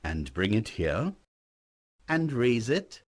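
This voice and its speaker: Man reading as a gentle, slow-talking weary father. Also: mid-range voice, sing-song. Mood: gentle, subdued. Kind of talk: audiobook character dialogue.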